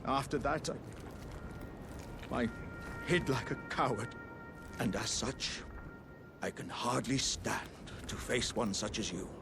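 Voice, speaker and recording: An actor voicing a friendly, humble living jar warrior in a fantasy game, hesitant and earnest. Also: male voice, medium pitch, slow pace, creaky, long pauses. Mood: ashamed. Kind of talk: fantasy game character dialogue. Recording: music playing behind the voice.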